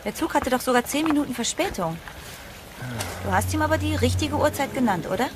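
A woman's voice: high voice, lively intonation, a little fast, noisy background. An actress playing an uneasy young woman traveller in a horror audio drama, with an earnest, dramatic delivery. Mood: mildly annoyed. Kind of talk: German horror audio drama acting and narration. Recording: voice over prominent music. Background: music.

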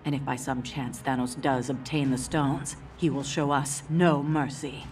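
A woman playing the stern, defiant daughter of a tyrant in a video game, speaking gravely and bluntly. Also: a bass voice, fairly even intonation, a little slow, darkish tone, faint music behind. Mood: stern, urgent. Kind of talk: video game drama acting.

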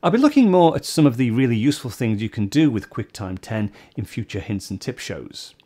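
Older man, lively tutorial host. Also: mid-range voice, a little fast. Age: older, about 60. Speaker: host of a tech tutorial show. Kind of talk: step-by-step software tutorial, presenting to camera. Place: small home studio office, indoors.

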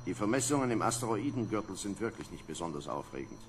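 An actor playing a gruff, weary space lieutenant in a vintage science fiction radio play, commanding and dryly grumbling. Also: male voice, lowish voice, sing-song, quick pace, background noise. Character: gruff, weary male lieutenant leading an asteroid survey. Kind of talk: vintage German sci-fi radio play acting.

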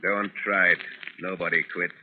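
An actor playing a cold gangster in a 1930s radio drama, curt and threatening. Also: male voice, low voice, little pitch movement, normal speed, close to an everyday voice. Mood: menacing. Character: menacing, cold male gangster who gives orders. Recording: tinny, noisy 1930s radio recording.

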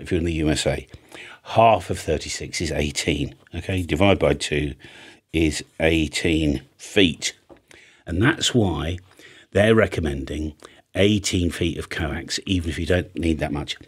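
Deep, husky British man explaining. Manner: chatty, plain-spoken, checks in with "right?" and "okay?". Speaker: amateur radio operator and antenna maker presenting a tutorial. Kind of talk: informal explainer tutorial. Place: small indoor home studio. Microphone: large studio microphone on a boom arm, close.

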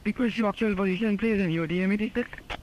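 A man working as an air traffic controller gives formal, halting radio calls, often repeating his phrases. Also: high-pitched, a little mellow, poor audio. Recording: archival air traffic radio with faint noise.

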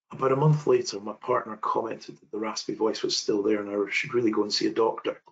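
A man's voice: mid-range voice, creaky, lo-fi sound. Man telling a personal story in a webinar. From United Kingdom. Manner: earnest, reflective storytelling with hesitations. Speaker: webinar presenter. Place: home office. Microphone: video call webcam.

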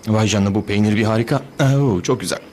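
A man voicing a blunt, greedy, easygoing buddy in a dubbed cartoon, talking in a casual, halting, tactless way. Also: fairly low pitch, a little mellow, a little hiss or hum, natural voice. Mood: casual, pleased.

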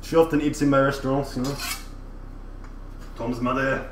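A man's voice: medium pitch, level tone, moderate pace, background noise, lightly acted. A man putting on a crude, cocky French chef. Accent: put-on French. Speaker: livestreamer. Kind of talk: casual livestream chat in character. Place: kitchen. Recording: roomy, distant mic, faint ambience.

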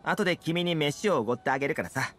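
A man voicing an easygoing, confident young fixer in a Japanese video game, talking smoothly and persuasively. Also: a little high, quick pace, fairly bright tone, natural voice. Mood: easygoing, friendly. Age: young adult.

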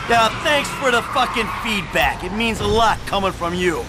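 A man's voice: sits high, expressive, moderate pace. An actor playing a jaded middle-aged criminal and father in a video game, sardonic and exasperated. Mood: sarcastic, exasperated. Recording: loud traffic and engine noise behind.

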